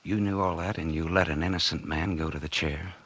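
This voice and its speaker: An actor playing a scheming, guilt-ridden husband turned murderer in a 1940s American radio suspense drama, with tense hard-boiled confessional delivery. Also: male voice, low-pitched, fairly even intonation, brisk, a bit bright, slight background noise. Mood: wary, cornered. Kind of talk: 1940s radio suspense acting with first-person narration. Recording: vintage 1940s radio drama recording, music behind.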